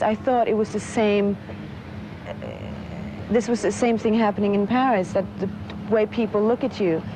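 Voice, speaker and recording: A woman's voice: mid-range voice, mellow, a little hiss or hum, poor audio. A woman, a dancer, speaking reflectively as if in an interview. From Norway. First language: Norwegian. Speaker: dancer and model.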